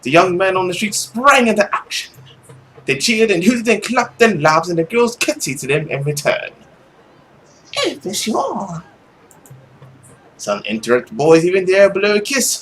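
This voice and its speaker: A young adult man narrating a visual novel aloud as a let's play host, in an expressive, dramatic way. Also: high-pitched, slight background noise, rough recording. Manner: animated, dramatic reading. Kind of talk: expressive story narration with casual commentary.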